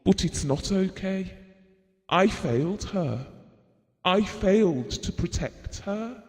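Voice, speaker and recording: A troubled husband in a radio play, full of guilt and exasperation. He confesses slowly in a strained, high voice, with a long reverb around him. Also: expressive, heavily acted. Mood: remorseful. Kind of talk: audio drama monologue and dialogue. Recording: reverberant hall-like room sound.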